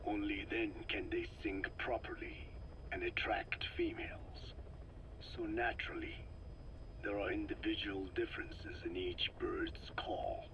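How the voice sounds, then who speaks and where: An actor playing a frail, soft-spoken scientist in a video game, explaining slowly and formally in a breathy rasp. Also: male voice, fairly low pitch, lo-fi sound, a little hiss or hum. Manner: slow, formal, measured, scholarly phrasing. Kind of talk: video game voice acting, recorded interrogation.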